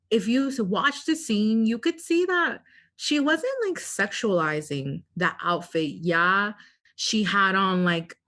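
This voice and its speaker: A woman with a somewhat low-pitched voice co-hosts a podcast. She talks in a casual, conversational way and shares her opinions openly. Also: a bit bright. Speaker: podcast co-host.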